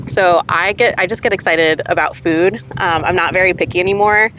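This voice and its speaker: A young woman from the US, a guest in a casual conversation, who talks haltingly, uses many fillers and laughs often. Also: lowish voice, steady tone, background noise. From born in Texas, grew up in Denver.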